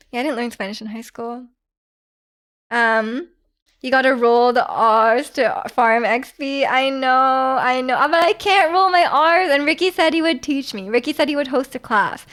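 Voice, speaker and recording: A woman's voice: high-pitched, fairly bright tone. A young woman, chatting casually on a live stream, giggling as she answers her chat.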